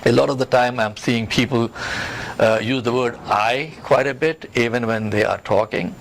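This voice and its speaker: Man, middle pitch, career advice interview, emphatic coaching manner, repeats points, slight background noise. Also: moderate pace. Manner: emphatic, instructive, repeats points and checks with "okay". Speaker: career coach and job search expert. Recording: clearly noisy background.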